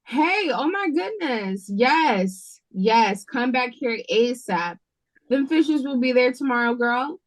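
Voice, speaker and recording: A frazzled waitress on the night shift, acted by a woman. Her voice sits on the high side, and she talks informally with a sassy, exasperated edge, as in an audio play. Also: lively intonation, slow pace. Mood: urgent, exasperated. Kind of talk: audio drama read-through. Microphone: video call.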